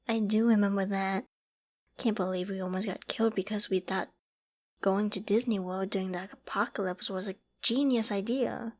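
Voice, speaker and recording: A woman acting a clingy, secretly obsessive young apocalypse survivor in a dramatic ASMR audio roleplay, with an emotional, halting, stammering delivery. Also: medium pitch, fairly even intonation, darkish tone, natural voice. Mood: nostalgic, lighter. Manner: emotional, halting and stammering, often dropping to whispers and sighs.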